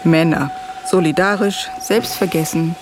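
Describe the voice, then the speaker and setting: A man playing a wry, knowing narrator in a radio drama, with a creaky voice and ironic delivery. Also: fairly high pitch, steady tone, unhurried, music in the background, close to an everyday voice.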